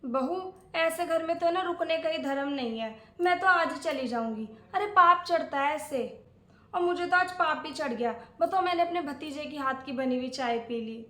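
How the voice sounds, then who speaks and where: A woman's voice: high-pitched, normal speed, a bit bright. A woman playing a scolding, self-righteous, old-fashioned older aunt in a dramatic, chatty domestic comedy skit. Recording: echoey room.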